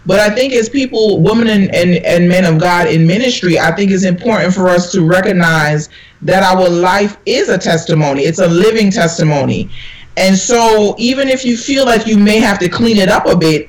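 A woman's voice: medium pitch, normal speed, low-quality recording. A woman minister from Jamaica speaking in an earnest, sermon-like way on a panel talk, quoting scripture. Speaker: minister, guest. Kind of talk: conversational panel talk with preaching.

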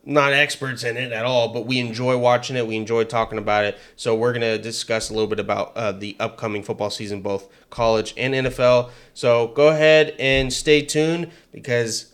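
A man co-hosting a casual political podcast, talking in energetic bursts with frequent restarts. He has a higher voice than his co-host. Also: brisk, few pauses, clean tone. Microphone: headset mic with headphones.

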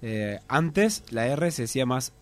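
A Uruguayan man telling anecdotes in a relaxed, hesitant way in casual studio chat. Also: medium pitch, a little slow. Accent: Uruguayan Spanish. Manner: relaxed storytelling, hesitant with restarts. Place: radio studio. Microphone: studio microphone.

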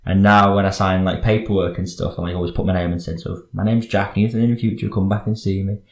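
A man's voice: sits low, fairly even intonation, fast talker, creaky. A young man in his twenties from England, chatting easily with a friend at that friend's house as a podcast host. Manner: easygoing, chatty, with fillers. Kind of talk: casual chat with a friend. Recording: roomy home recording.

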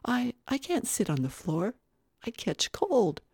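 Lady doing a gentle little storybook boy, prim and sheltered, high soft voice, dry sound. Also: sing-song, unhurried, creaky, slightly rough, no echo, exaggerated voice. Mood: timid, wistful. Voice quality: soft, small child's voice. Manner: prim, formal politeness. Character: gentle, weary, sheltered little rich boy, polite and puzzled.

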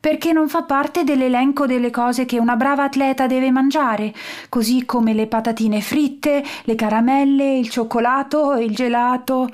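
A woman's voice: high-pitched, fairly even intonation, normal speed, hardly any pauses, darkish tone, pitched above the performer's own voice, natural voice. Woman doing a protesting young girl.

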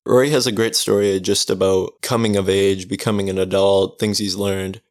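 A young Canadian man hosting a casual podcast, relaxed and rambling with restarts. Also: low voice, moderate pace, no echo, studio quality. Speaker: podcast host.

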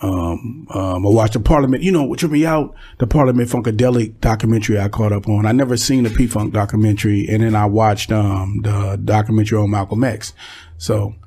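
A laid-back man with a fairly low voice and a warm, mellow tone. He is a podcast guest and talks easily and casually, tossing in man often. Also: fairly even intonation, brisk. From born in Texas, raised in California. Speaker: guest, music artist. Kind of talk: casual interview chat.

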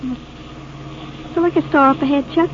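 High-pitched reckless teenage girl, old radio. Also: a little slow. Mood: taunting. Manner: slangy, goading, needling. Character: reckless, thrill-hungry teenage girl robber, taunting and cold. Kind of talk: 1950s radio drama acting. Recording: muffled, noisy old radio recording.

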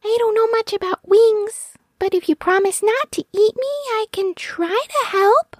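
A woman voicing a tiny, cautious but kind little mouse with a squeaky voice for a storybook. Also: normal speed, no echo, soft background music. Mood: shy, helpful.

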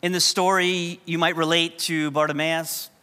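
A man's voice: fairly high pitch, flat delivery, moderate pace, sharp tone, clear, resonant tone. New pastor guy preaching, chatty and jokey, voice rings out in a big hall. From New York. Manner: conversational, humorous storytelling. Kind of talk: sermon. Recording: long hall reverb.

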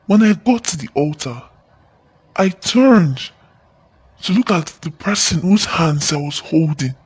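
Man playing a husky, anguished young believer. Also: fairly high pitch, lively intonation. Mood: troubled. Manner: slow, anguished, repeating his phrases. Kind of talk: audio drama acting.

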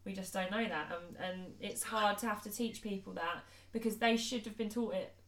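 A woman's voice: medium pitch, steady tone, moderate pace, a bit bright. A British woman chatting casually and earnestly about her work as a fitness coach on a podcast. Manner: earnest, chatty, informal phrasing. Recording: roomy, echoey room sound.

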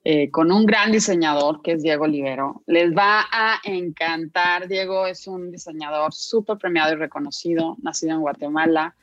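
Mexican female co-host, effusive, low voice, dry muffled recording. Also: fairly even intonation, normal speed, hardly any pauses, no room sound. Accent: Mexican Spanish. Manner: effusive, gushing praise. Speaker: podcast co-host. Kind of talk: enthusiastic introduction.

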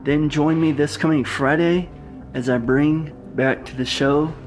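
A man hosting a podcast, giving a casual outro in a halting, phrase-by-phrase manner. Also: a little high, fairly even intonation, soft background music. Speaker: podcast host.

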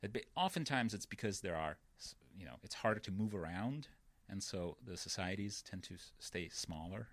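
A man guesting on a podcast, speaking in a fairly low voice. He explains step by step and keeps checking that the listener follows. The recording is dry and close. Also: lively intonation, normal speed, a bit bright, no room sound. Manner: explains patiently, often checks with "right?". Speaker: guest researcher. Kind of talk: explanatory interview talk.

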